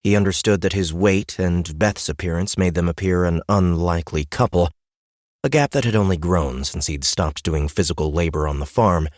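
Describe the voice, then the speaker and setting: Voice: low-pitched, normal speed, a little mellow, no echo. An audiobook narrator reading scripted fantasy fiction in a studio. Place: dry studio.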